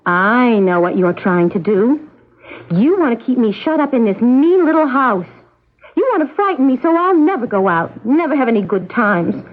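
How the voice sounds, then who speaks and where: An actress playing a merry, restless, willful farm daughter with childlike, headstrong bluntness in a radio drama. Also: female voice, on the high side, sing-song, moderate pace. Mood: defiant. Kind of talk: 1970s radio drama acting. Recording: dull lo-fi radio broadcast recording.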